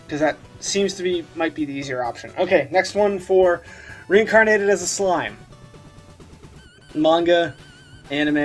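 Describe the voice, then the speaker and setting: A man with a high-pitched voice gives casual, off-the-cuff reaction commentary as a channel host. His manner is giggly and informal, with some swearing, and music plays faintly behind him. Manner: giggly, often laughing as he talks. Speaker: host of a meme review channel.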